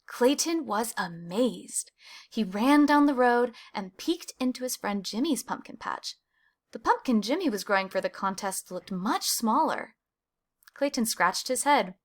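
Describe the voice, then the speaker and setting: A woman reading a children's picture book aloud, with animated emphasis on key words. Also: on the high side, a little slow. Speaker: storybook reader.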